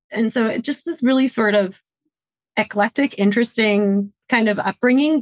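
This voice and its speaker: A woman's voice: mid-range voice, dry recording. A middle-aged woman from Detroit, a podcast guest talking in an earnest, reflective way about her upbringing and her work. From Detroit, Michigan, USA. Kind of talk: conversational interview answers.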